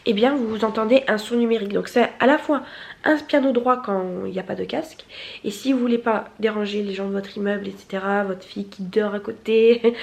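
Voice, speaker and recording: A woman's voice: mid-range voice, vocal fry. A young woman with a stuffy nose from a cold, chatting casually in a vlog, rambling with many fillers and restarts. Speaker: vlogger. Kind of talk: casual vlog chat to camera.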